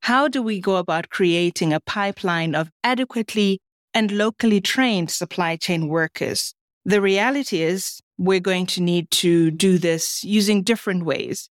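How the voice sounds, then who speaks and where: A woman's voice: fairly low pitch, normal speed, dry recording, studio quality. A woman hosting a podcast, delivering scripted introductions and interview questions. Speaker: podcast host.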